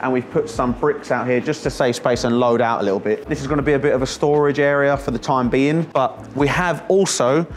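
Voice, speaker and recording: A man in his thirties talks through his building project in an easy, chatty way. He sounds excited and jokey at a middling pitch. He is recorded on a camera mic in a bare warehouse. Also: a little fast, few pauses, slight background noise. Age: 30s. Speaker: vlog host. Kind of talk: casual walkthrough vlog. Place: warehouse under construction, indoors and on roof.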